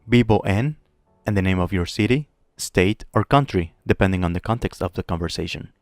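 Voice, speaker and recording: A man teaching a Spanish lesson, modeling phrases clearly and slowly for the listener to repeat. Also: sits low, no echo, studio quality. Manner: clear, slow model pronunciation with pauses to repeat. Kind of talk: language lesson with drills.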